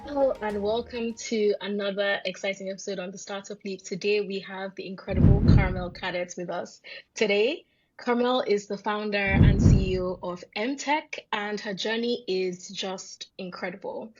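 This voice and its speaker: A woman's voice: mid-range voice, fairly even intonation, moderate pace, muffled recording, loud music behind the voice. A woman hosting a startup podcast, introducing her guest with enthusiasm and asking questions. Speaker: podcast co-host.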